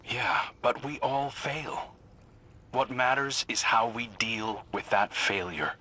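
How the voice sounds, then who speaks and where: An actor playing a regretful, reassuring mentor in a video game, with a husky, plain-spoken, sincere delivery. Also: male voice, medium pitch, slow, bright, forceful tone. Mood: rueful, reassuring. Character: regretful, reassuring male mentor with a troubled past. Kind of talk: video game dialogue acting.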